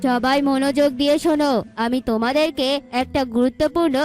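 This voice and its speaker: A woman's voice: high voice, steady tone, brisk, darkish tone, faint music behind, close to an everyday voice. A woman voicing a regal eagle king in a cartoon, commanding and devoted. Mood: solemn commanding.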